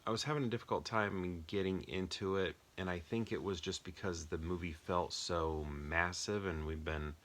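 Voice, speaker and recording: Deep, bright-voiced man reviewing casually. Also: steady tone, moderate pace, hardly any pauses, a little hiss or hum. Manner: rambling, hesitant, searching for words. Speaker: film reviewer. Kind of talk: casual off-the-cuff movie review.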